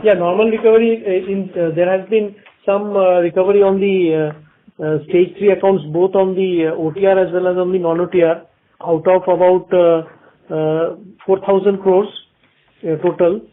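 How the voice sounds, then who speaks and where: A man with an Indian accent answering questions on an earnings call as a company executive, explaining in a halting way. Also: high voice, fairly even intonation. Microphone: phone line. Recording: tinny narrowband phone conference line.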